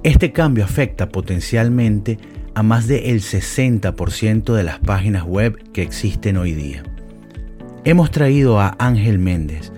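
A man's voice: lowish voice, animated, a little slow, dark tone, faint music behind. A man hosting a podcast, reading an introduction and then interviewing his guest politely, with frequent hesitant fillers. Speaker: podcast host.

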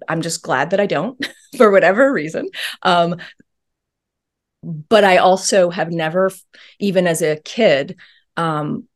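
A woman talking as an interview guest in a thoughtful, earnest way with many pauses and ums. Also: lowish voice. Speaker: podcast guest. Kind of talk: reflective interview talk.

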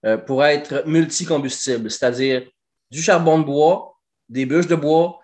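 A man's voice: a little high, unhurried. Québécois man talking barbecue business. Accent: Québécois French. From Quebec. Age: 40s. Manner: animated, fluent, frequent "euh" and hesitations. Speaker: guest, barbecue company representative. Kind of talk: casual interview talk.

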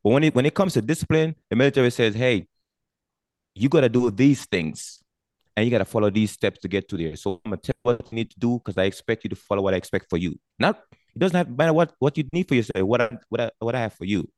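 A middle-aged man, a retired army veteran, talking as a podcast guest in an energetic, emphatic way, often repeating words as he thinks. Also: mid-range voice, fast, no room sound. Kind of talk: casual podcast conversation.

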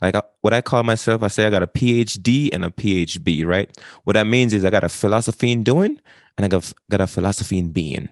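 A man, talking as an interview guest in an energetic way, often repeating and restarting phrases. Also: on the low side, quick pace, dry recording, clean studio sound. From Jamaica. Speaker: guest, wisdom coach. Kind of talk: casual interview talk.

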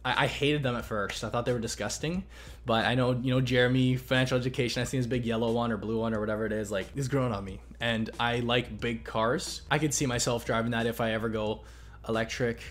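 A man hosting a casual question-and-answer chat on investing, talking in a relaxed, rambling way with many fillers and restarts. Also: medium pitch, fast, a little hiss or hum. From Canada. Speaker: investing channel host.

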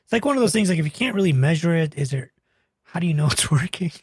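A young man with a nasal, raspy voice, hosting a casual interview as a doctor. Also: a little high, animated, fast talker, darkish tone, no echo, clean studio sound. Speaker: podcast host, doctor.

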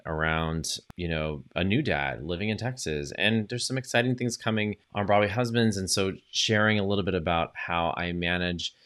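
A man's voice: sits low, a bit bright, clean tone. A man hosting his own podcast, talking in an upbeat, chatty solo monologue with fillers and self-corrections. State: recovering from lost voice. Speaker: podcast host and social media coach.

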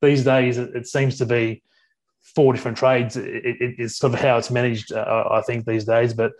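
An Australian man in his forties talks as a podcast guest in an even, flat tone. He speaks haltingly, repeating words and filling pauses with ums. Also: medium pitch. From Australia, Sydney. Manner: halting, with many restarts and ums. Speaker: podcast guest, workplace advisor. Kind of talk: casual interview talk.

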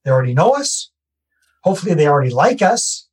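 A Canadian man, a real estate investor, talking through his process in a relaxed podcast interview and repeating his key points. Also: a little high, quick pace, breathy edge. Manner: conversational, self-deprecating, repeats key phrases.

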